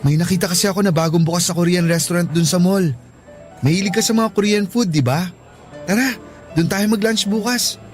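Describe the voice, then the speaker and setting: A man's voice: high-pitched, brisk, faint music behind, natural voice. An actor playing a sweet, devoted young male student suitor, with a casual boyish manner, in a radio-style drama. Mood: eager, playful.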